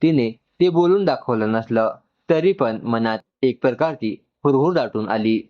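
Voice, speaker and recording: A man's voice: mid-range voice, expressive, measured pace, dull audio. A man narrates a scripted story and acts out its dialogue.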